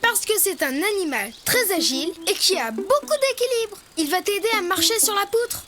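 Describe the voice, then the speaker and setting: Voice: extremely high pitch, animated, normal speed. An actor voicing an eager, helpful little boy who explains step by step in a preschool educational cartoon. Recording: loud music under the voice.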